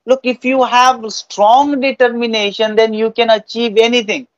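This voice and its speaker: A man's voice: extremely high pitch, flat delivery, normal speed, dry recording, highs cut off. A young Indian-accented man, an English trainer in conversational speaking practice, who repeats phrases for emphasis on a video call. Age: young adult.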